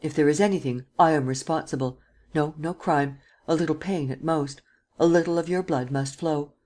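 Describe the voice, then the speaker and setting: Woman voicing a forceful, imperious nobleman. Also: low-pitched, normal speed. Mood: commanding. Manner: imperious, vehement. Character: commanding ruthless male nobleman, forceful.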